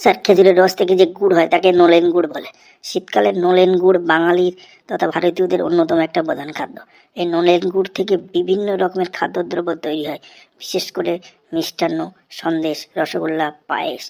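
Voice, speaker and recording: A woman's voice: on the low side, level tone, brisk, creaky, mellow. A woman voicing an eager, cheeky, long-winded schoolboy in a comedy cartoon, speaking earnestly and respectfully. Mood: earnest reciting. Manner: deferential, earnest, rambling classroom recitation. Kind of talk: Bengali comedy cartoon voice acting, recitation.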